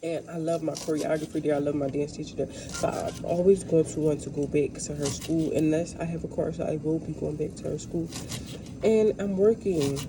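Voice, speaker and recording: A woman from the United States vlogging in a casual, rambling storytelling way while she cooks, recorded without a dedicated microphone. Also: low voice. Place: kitchen. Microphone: no dedicated microphone, built-in device mic. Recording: noisy kitchen room without a microphone.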